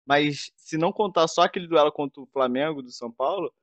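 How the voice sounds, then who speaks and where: Brazilian man, fairly high voice, casual football talk. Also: fairly quick, no room sound. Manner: slangy, opinionated. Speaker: football podcast commentator.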